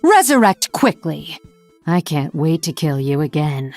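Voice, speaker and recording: A haughty low-voiced elven rogue. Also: female voice, very animated, a little slow, darkish tone, dry recording, faint music behind, heavily acted. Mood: scornful, menacing. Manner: haughty, cutting, dry teasing. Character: proud, sardonic female blood elf rogue. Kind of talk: video game hero voice lines.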